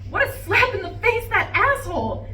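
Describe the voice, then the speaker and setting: A woman's voice: extremely high pitch, big pitch swings, moderate pace, bright, forceful tone, heavily acted. A woman acting a bitter, betrayed wife in an emphatic, indignant monologue at a live play reading. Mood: outraged. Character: bitter, betrayed wife venting to her plants. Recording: roomy, distant live recording, faint noise.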